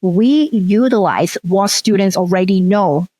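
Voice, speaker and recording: Woman, middle-aged, from Hong Kong, Cantonese native, mid pitch, dry close sound, thoughtful, lots of ums. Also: expressive, unhurried, mellow, no room sound. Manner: thoughtful, with frequent um and restarts. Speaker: researcher and guest. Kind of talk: casual interview storytelling.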